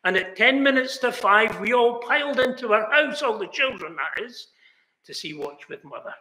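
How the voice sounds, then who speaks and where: A man's voice: very high voice, expressive, normal speed, pushed and bright. Older man preaching earnestly, echoey chapel. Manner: earnest, rising to exclamations. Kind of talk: sermon. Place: chapel hall, indoors. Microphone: fixed camera, seated mid shot.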